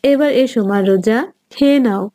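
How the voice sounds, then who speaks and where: A woman's voice: a little high, measured pace, warm tone, no echo, studio quality, lightly acted. A woman playing a patient, loving mother of a poor family in a children's cartoon. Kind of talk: children's moral cartoon voice acting.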